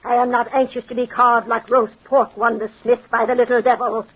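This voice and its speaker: A woman's voice: a little high, little pitch movement, moderate pace. An actress playing a wary, sly old woman who tells fortunes, in old-time radio horror. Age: older. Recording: husky, muffled old radio recording.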